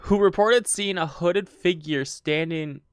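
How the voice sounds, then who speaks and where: A man's voice: high-pitched, unhurried, clear, resonant tone, no room sound, clean studio sound. A man co-hosting a casual podcast, reading out an account, stumbling over words and laughing often. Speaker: podcast co-host. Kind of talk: casual chat, reading a story.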